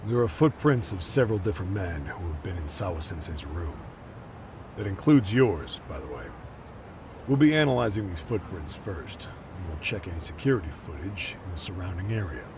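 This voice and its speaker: An actor playing a gruff, jaded, foul-mouthed middle-aged police detective in an English game dub. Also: male voice, low voice, lively intonation, normal speed, background noise. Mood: businesslike.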